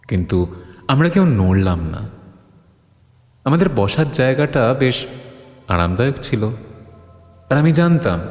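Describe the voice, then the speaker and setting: A man playing a stubborn, imaginative horror writer in an audio story, deliberate and argumentative, with dramatic pauses. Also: medium pitch, a little mellow. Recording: clear background ambience noise.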